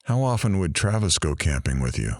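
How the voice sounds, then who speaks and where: Guy as a frank interviewer in an audio drama, real low voice, musing, lots of up and down. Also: very animated, fairly quick, no echo, clean studio sound. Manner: musing, conversational. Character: musing male interviewer, frank about his regrets.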